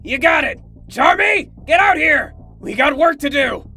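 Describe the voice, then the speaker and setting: A man's voice: very high voice, lively intonation, a little slow, gravelly, bright, forceful tone, faint music behind, heavily acted. A man voicing a brash but easygoing crocodile detective boss in a cartoon comic dub, blunt and slangy. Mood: bossy, urgent. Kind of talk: comic book fan dub cartoon acting.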